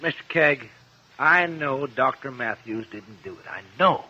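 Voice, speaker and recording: An actor in a 1950s radio drama playing an earnest, loyal young man with an eager, halting, naive way of talking. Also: medium pitch, animated, bright, forceful tone, exaggerated voice. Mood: earnest, pleading. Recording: muffled vintage 1950s radio recording.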